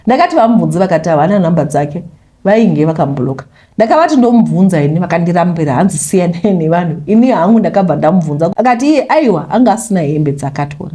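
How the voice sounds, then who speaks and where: A Zimbabwean woman narrates a personal confession at a brisk pace. Her voice is soft-edged and mellow, sitting a little low. She keeps it conversational, slipping English phrases into the story. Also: very animated. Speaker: YouTuber reading a listener's confession.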